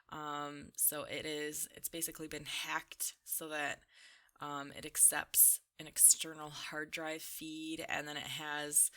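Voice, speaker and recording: Young woman, bright forceful tone, slightly low pitch, unhurried, casual slangy gaming commentary, rambling. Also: vocal fry. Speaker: gaming video creator. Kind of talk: casual chatty commentary while playing a game.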